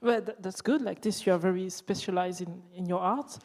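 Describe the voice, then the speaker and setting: A woman's voice: fairly low pitch, steady tone, brisk, darkish tone. Woman interviewing in a hall. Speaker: interviewer, translator. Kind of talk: casual live interview. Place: indoor event hall before an audience. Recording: reverberant hall.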